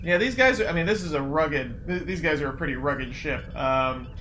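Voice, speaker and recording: A man's voice: on the high side, moderate pace, pushed and bright. A man playing a tabletop role-playing game, talking in casual, colloquial, joking banter. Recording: noticeable background noise.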